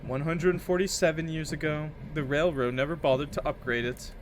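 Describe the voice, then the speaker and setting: Man as scolding mom, brisk, dismissive, game dialogue, higher-pitched, faint background noise. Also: fairly even intonation, lightly acted. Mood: matter-of-fact.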